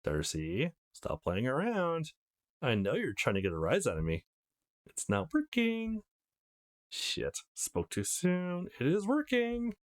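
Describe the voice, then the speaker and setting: A man reads as an awkward, easily flustered young man, the hero of a visual novel. He sounds casual and a little hesitant, with very changeable pitch. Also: wildly swooping pitch, takes it slowly, heavily acted.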